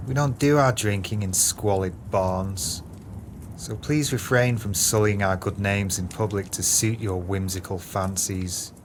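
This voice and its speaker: A man's voice: fairly low pitch, slight background noise, close to an everyday voice. A man playing a haughty, easily exasperated crooked businessman in an audio play, speaking in a pompous, florid way. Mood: haughty disdain.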